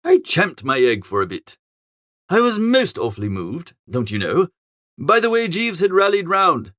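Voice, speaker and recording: Animated high-voiced male audiobook narrator. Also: normal speed, dry recording, studio quality. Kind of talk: animated first-person comic story narration.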